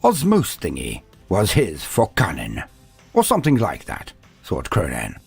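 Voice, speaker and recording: Male narrator for dramatic fantasy lore, gravelly and grandiose with wry asides, over music. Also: low voice, wildly swooping pitch, measured pace. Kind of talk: dramatic scripted storytelling narration. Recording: music under the voice. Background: background music.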